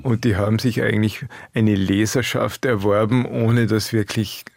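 A man's voice: lowish voice, steady tone, normal speed, creaky, dry recording. A middle-aged Austrian man from the Alps, an author being interviewed, talking in a dry, laconic way and chuckling often. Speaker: author guest. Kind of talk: relaxed interview talk.

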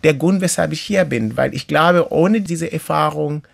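A middle-aged man from Kenya, a guest in an interview, telling his life story in an earnest, measured and reflective way. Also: a little high, vocal fry. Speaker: interview guest, author and teacher.

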